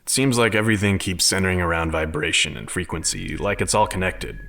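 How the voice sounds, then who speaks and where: A man's voice: sits low, brisk, bright, forceful tone, a little hiss or hum. An actor playing a thoughtful, wryly amused man who reasons things out in a sci-fi audio drama. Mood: reflective.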